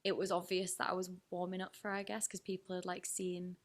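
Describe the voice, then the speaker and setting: A woman's voice: fairly low pitch, completely flat delivery, fast talker. A young woman, a DJ chatting casually and informally as an interview guest. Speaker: DJ being interviewed. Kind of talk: casual chat.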